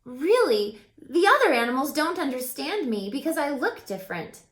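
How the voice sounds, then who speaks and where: A woman's voice: high voice, sing-song, moderate pace, roomy sound, pitched above the performer's own voice. A woman voicing a sweet, bashful young female unicorn in a children's storybook, speaking earnestly.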